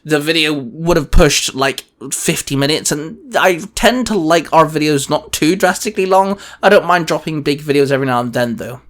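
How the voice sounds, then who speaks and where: A man's voice: on the high side, fairly bright tone. A man hosting a casual gaming chat, talking in an enthusiastic, rambling way. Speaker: host.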